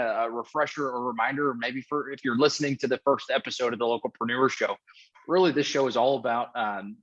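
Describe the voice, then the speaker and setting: A man's voice: medium pitch, fairly bright tone, lo-fi sound. A man hosting a podcast, eager and stumbling over repeated words. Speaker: podcast host.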